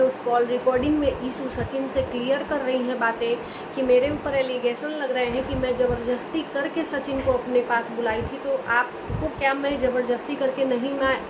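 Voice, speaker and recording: A woman hosting a reaction video, giving casual commentary in a somewhat halting way with repeated phrases. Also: high voice. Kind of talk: casual commentary on online personalities. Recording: clear steady room hum and noise.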